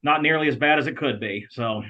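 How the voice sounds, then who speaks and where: A man's voice: mid-range voice, fairly quick. An American man giving sports talk as a guest on a show. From United States, Ohio. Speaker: podcast guest, college athletics communications director. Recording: dull lo-fi line.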